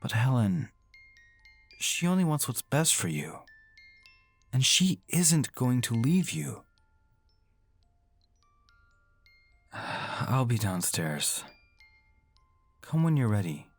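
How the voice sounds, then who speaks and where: A woman playing a gentle, earnest father in a horror radio drama. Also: very deep voice, very animated, slow, long pauses, faint music behind, full character voice. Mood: tender, weary. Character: gentle, protective father pleading with his daughter.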